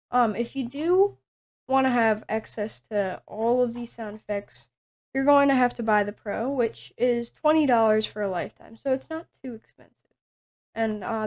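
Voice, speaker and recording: A woman with a high-pitched voice walks through a piece of software as a tutorial presenter. She talks casually and haltingly, feeling out each step as she goes.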